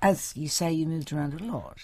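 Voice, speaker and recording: A woman's voice: low voice, lively intonation, a little fast, warm tone, dry recording. A woman interviewing a guest on radio, asking probing questions in a conversational way.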